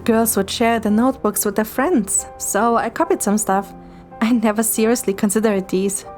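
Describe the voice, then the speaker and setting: A playful young game heroine with a fairly high voice, acted by a woman. She talks casually and expressively, with a slightly bashful streak. Music runs underneath. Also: steady tone, moderate pace, darkish tone, natural voice. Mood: dreamy, playful. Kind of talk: amateur romance game dub.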